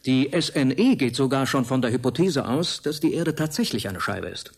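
An actor playing a grave, alarmed older professor in a German radio drama, lecturing emphatically. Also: male voice, medium pitch, expressive, normal speed, gravelly, slightly rough. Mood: grave, insistent. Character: grave, alarmed male professor warning of war.

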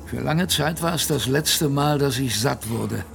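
A man's voice: medium pitch, measured pace, creaky, fairly bright tone. An actor playing a young polar expedition sailor, telling his survival story in a colloquial, wry radio play narration. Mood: wry, recounting.

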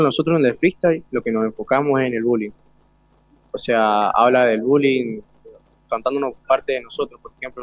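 A man's voice: mid-range voice, no echo. A young Argentine man speaks as a student guest in an informal interview. He is earnest and colloquial, often checking that he is understood. The sound is close and dry, slightly muffled. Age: teens to young adult. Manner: colloquial, earnest, seeks agreement with question tags.

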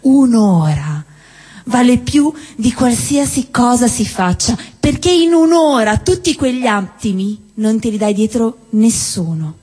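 A woman performing a theatrical spoken-word monologue on stage, declaiming with deliberate phrasing and dramatic pauses. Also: fairly high pitch, animated, dark tone, husky. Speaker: stage performer at a live show. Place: indoor cinema-theatre venue with long reverb. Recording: reverberant hall, live stage performance.

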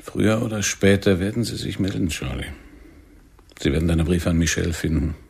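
A controlled intelligence handler in a radio play. His low voice is extremely husky and full of gravel. He instructs intimately. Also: a little fast, a little mellow, heavily acted. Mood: calm, urgent. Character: controlled, instructing male secret agent handler. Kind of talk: intimate radio drama acting.

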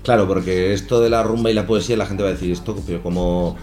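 A man chats in a radio studio as a guest musician. He speaks in a lively, emphatic way. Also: low voice, quick pace, slight background noise. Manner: lively, emphatic, laughs easily. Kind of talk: casual radio interview.

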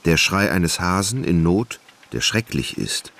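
Very deep, gravelly male narrator. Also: big pitch swings, measured pace, a bit bright. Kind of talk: scripted literary narration in a radio drama.

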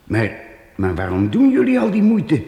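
An actor playing a kindly, excitable priest in a radio play, often exclaiming in surprise. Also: male voice, a little high, moderate pace, creaky, slightly rough, in a large hall, full character voice. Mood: indignant. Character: kindly fussy middle-aged male priest. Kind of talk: radio drama acting.

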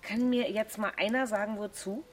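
A woman's voice: medium pitch, steady tone, normal speed, soft background music, close to an everyday voice. An actress playing a stressed, sarcastic woman in her forties in a naturalistic radio drama, dry and nagging. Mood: irritated. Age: middle-aged.

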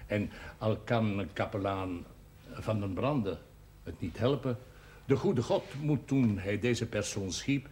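Wry cleric, faint old-broadcast noise. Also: male voice, lowish voice, darkish tone. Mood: ironic, deliberate. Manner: measured, deliberate, ironic, with formal phrasing. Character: wry, cultured male senior cleric living in seclusion. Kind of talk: television period drama acting. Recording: noisy old 1970s television soundtrack.

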